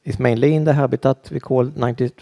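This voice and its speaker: A Swedish man with a Swedish accent, giving a conference presentation in English as a second language, halting and full of restarts. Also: mid-range voice, steady tone, vocal fry, mellow. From Sweden. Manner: halting, with frequent restarts and fillers. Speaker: conference speaker.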